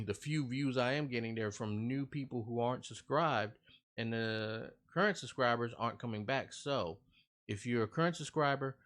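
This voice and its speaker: A man's voice: mid-range voice, fairly bright tone. A man hosting a reaction video, chatting casually and earnestly to his viewers, rambling and often checking in with them. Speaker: reaction channel host. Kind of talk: casual talk to viewers after a scary-story reaction.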